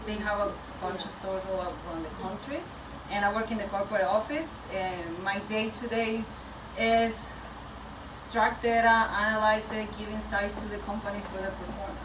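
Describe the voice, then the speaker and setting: A woman from Venezuela with a Venezuelan Spanish accent gives an informal, candid personal talk to a meetup audience, speaking a bit haltingly and nervously. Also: medium pitch. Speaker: community track lead speaker. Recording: echoey noisy room, distant microphone.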